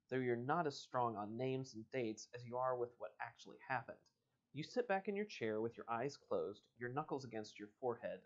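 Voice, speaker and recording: A man hosting a livestream storybook read-aloud, animated and joking, with casual self-deprecating asides. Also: mid-range voice, highs cut off. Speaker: host reading a book aloud on a livestream.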